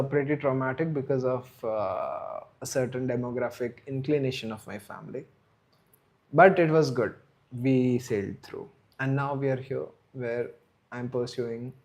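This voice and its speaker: A man's voice: medium pitch, vocal fry. A man, chatting casually on a live stream, rambling and answering viewers' chat. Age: 30s. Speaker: livestream host.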